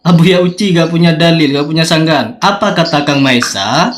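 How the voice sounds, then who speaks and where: A man's voice: on the high side, moderate pace. A man in a heated religious debate, confrontational and insistently questioning as he challenges his opponents.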